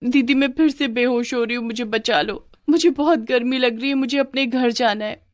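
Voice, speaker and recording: Woman voicing a whiny pampered younger sister, high-pitched. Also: moderate pace, close to an everyday voice. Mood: whiny, pleading. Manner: dramatic, complaining. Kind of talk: cartoon moral story acting.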